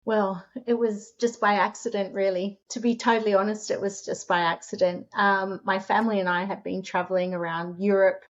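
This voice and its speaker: A middle-aged Australian woman telling her story in a relaxed interview, chatty and quick to laugh. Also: mid-range voice, dull audio. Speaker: guest, short-term rental host and author.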